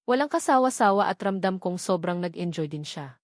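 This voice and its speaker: A woman's voice: fairly low pitch, lively intonation, brisk, no echo, studio quality. A woman reading scripted first-person narration from a romance novel. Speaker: audiobook narrator.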